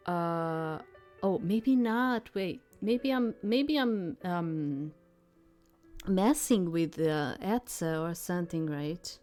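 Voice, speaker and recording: A woman's voice: on the low side, takes it slowly, darkish tone. A woman doing casual gameplay commentary and reading story text aloud, with a hesitant, thinking-aloud manner. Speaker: let's player reading a visual novel aloud. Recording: background music under the voice. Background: game music.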